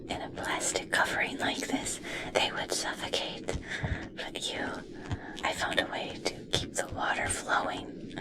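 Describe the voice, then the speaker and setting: A woman playing a gentle, obsessed scientist fascinated by merpeople in a whispered ASMR roleplay, speaking softly, slowly and soothingly. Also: extremely low pitch, exaggerated voice, low-quality recording. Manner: soft, soothing, slow, coaxing.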